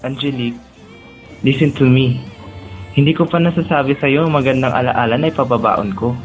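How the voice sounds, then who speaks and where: A man with a Filipino accent playing a gentle, lovelorn young man who speaks earnestly and tenderly in a romantic radio drama. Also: mid-range voice, fairly quick, natural voice. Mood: loving confession. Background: music.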